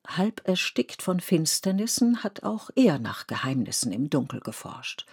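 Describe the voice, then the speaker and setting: Husky radio play narrator. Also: female voice, low-pitched, big pitch swings, measured pace, a little mellow, exaggerated voice. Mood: grave. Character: sober literary narrator of a historical tale.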